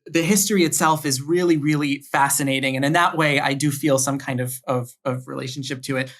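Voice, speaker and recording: A man's voice: medium pitch, fairly even intonation, fairly quick, fairly bright tone. A young man from Miami, a podcast guest, talking through his background in a thoughtful way and often restarting his sentences. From Miami, United States. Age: young adult. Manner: thoughtful, with frequent restarts and repeated words. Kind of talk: conversational interview.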